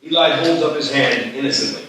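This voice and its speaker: A man's voice: medium pitch, fairly quick, a crowd in the background. A man reading stage directions as scripted narration at a live screenplay table read. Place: live festival reading in an echoey room. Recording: echoey hall, distant mic, faint noise.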